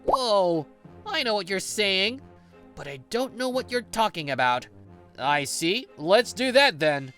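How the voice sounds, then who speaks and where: 남자 성우가 연기하는 억양 변화가 큰 느긋한 직장 선배. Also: male voice, high voice, sing-song, unhurried, bright, forceful tone, soft background music, full character voice. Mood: polite, exasperated. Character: easygoing, patient male office senior training a junior. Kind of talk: English manga dub, narration and dialogue.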